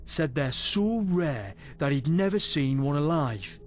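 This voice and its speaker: An actor playing a boisterous, rough British adventurer in a fantasy game, telling tales in a salty, wry way. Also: male voice, fairly high pitch, animated, unhurried, faint music behind. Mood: reflective. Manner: salty, foul-mouthed, wry storyteller. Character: boisterous rough male adventurer spinning a monster tale. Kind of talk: in-character storytelling in a fantasy game.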